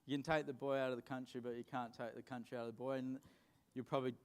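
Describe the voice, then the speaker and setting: A man's voice: medium pitch, level tone, racing, darkish tone, clean tone. A Western Australian man in his forties, a guest speaker answering interview questions on stage in a plain-spoken, unpolished way, often repeating words. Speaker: guest speaker, mining executive. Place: function hall at a dinner event.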